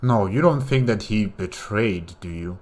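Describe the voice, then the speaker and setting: A man's voice: on the low side, animated, measured pace, a little mellow. A man giving casual reaction commentary, thinking aloud with many questions and repeated words. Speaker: reaction video host.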